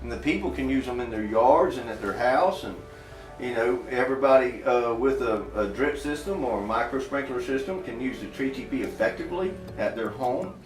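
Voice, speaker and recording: A man's voice: mid-range voice, lively intonation, a little fast. A man from Florida, talking earnestly as an interview guest in a folksy, plain-spoken, emphatic way. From Florida, United States. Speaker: inventor and farm irrigation worker, interview guest. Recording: roomy, distant mic, faint music behind.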